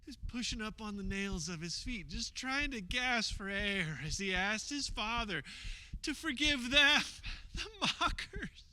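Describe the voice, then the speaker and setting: A man playing a grieving disciple in a radio theater, recounting a crucifixion in a halting, emotional way. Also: high-pitched, animated, pushed and bright, no room sound, exaggerated voice, rough recording. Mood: anguished. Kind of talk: dramatic radio theater monologue.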